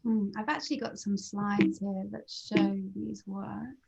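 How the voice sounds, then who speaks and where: A woman's voice: medium pitch, fairly even intonation, unhurried, vocal fry, a little mellow, muffled recording. A woman hosting an interview over a video call, hesitant and full of fillers.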